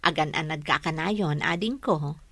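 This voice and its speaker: A woman's voice: low voice, normal speed, sharp tone, no echo, studio quality, heavily acted. A woman playing a selfless, protective older sister in an audio drama, firm and full of advice.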